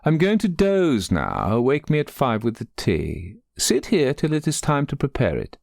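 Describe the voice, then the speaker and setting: Sly, imperious older lady of a gothic tale, acted out by a man: high metallic tone, precise and cold. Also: huge pitch swings, unhurried, vocal fry, darkish tone, no room sound, clean studio sound, full character voice. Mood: curt, commanding. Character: domineering, sly, cold older spinster employer.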